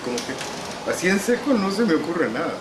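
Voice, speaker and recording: A Salvadoran man in his sixties tells stories of his past in an unhurried, wry tone, filling gaps with "eh". He sounds like he is sitting in a hard, echoey room, and a steady hum carries under his voice throughout. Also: on the high side. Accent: Salvadoran Spanish. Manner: unhurried, wry, frequent "eh" pauses. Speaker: novelist interviewee. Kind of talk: relaxed reminiscing interview answers.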